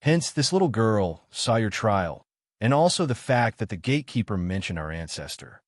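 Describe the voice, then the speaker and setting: A man voicing a young slave girl of a nonhuman clan in a fantasy audiobook, formal, deferential and quietly confident. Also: fairly low pitch, big pitch swings, normal speed, no room sound, clean studio sound. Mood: deferential, explaining.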